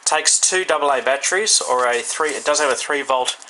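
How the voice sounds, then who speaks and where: A man with an Australian accent giving a casual, unscripted hands-on product review, haltingly thinking aloud. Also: mid-range voice, pushed and bright, rough recording. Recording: faint background noise.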